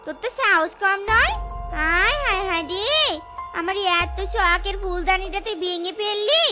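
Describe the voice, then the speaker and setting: A woman voicing a cruel, scheming, sharp-tongued aunt in a cartoon tale, with dramatic scolding delivery. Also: very high voice, normal speed, over loud music. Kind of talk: exaggerated cartoon voice acting.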